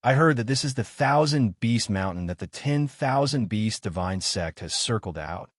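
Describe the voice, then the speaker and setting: A man's voice: lowish voice, expressive, moderate pace, no room sound, clean studio sound. A man voicing a brash, cocky young male fantasy hero in an audiobook, exclaiming and scoffing as he talks. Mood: cocky, grumbling. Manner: exclamatory, scoffing, self-interested.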